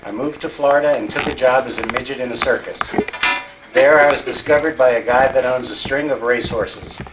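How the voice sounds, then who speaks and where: A man's voice: mid-range voice, fairly quick, music in the background. A man reading out joke birthday messages to guests at a home party. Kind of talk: comic reading aloud at a party. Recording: noisy amateur home video.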